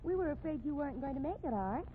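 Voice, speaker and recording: An actress playing a publisher's wife chatting with colleagues in a 1950s radio drama. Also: female voice, high-pitched, expressive, quick pace, narrow, tinny audio. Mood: chatty. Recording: thin, noisy 1950s radio recording.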